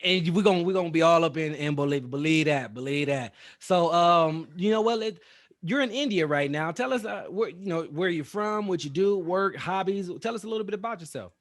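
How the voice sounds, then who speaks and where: A man hosting a casual travel podcast in an upbeat, energetic way. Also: high-pitched, fairly quick. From USA. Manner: upbeat, energetic host patter. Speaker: podcast host.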